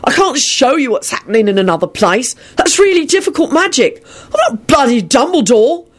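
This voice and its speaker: A frustrated young male wizard, acted by a woman narrator, shouting in a raised, agitated pitch. He is hot-tempered and anxious, a storybook character at the end of his patience. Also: very animated, normal speed. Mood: furious. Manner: shouting, exasperated.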